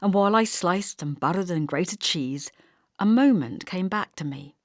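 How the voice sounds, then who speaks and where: A woman's voice: fairly low pitch, expressive, moderate pace, no echo, clean studio sound. A woman narrating a novel as an audiobook, reading expressively from a script.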